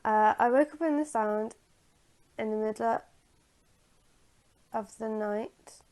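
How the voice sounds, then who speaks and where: A woman retelling a story from memory in a halting, hesitant way, searching for her words. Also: a little high, darkish tone.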